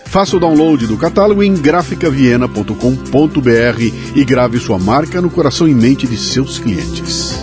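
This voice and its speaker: A man's voice: mid-range voice, expressive, normal speed, few pauses. Brazilian man hosting a podcast, music behind. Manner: informal, colloquial. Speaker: podcast host. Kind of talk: scripted sponsor reads and conversational interviewing.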